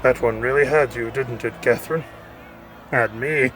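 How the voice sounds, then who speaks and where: A man's voice: medium pitch, moderate pace, over music, natural voice. A man playing a haughty, bloodthirsty king and father in an audio drama, pompous and dismissive. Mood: smug, dismissive. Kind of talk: audio drama acting, grand proclamation. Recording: echoey hall, long reverb, noisy ambience.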